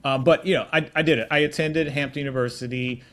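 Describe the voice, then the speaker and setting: A man's voice: mid-range voice, fairly even intonation, quick pace, a bit bright. A middle-aged man answering interview questions as a tech executive, telling his story in an informal, affable way with frequent restarts. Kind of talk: casual conversational storytelling.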